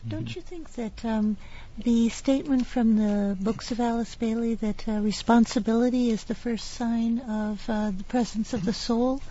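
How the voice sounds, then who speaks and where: A woman's voice: a little high, moderate pace, warm tone. A woman speaking as a guest on a discussion panel, thoughtful and questioning. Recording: dull lo-fi broadcast recording.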